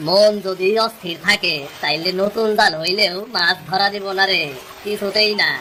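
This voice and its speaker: A man playing a patient, hopeful older brother, a poor fisherman, in a cartoon story, speaking rural Bengali dialect in a calm, advising way. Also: high-pitched, moderate pace, slight background noise. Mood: consoling.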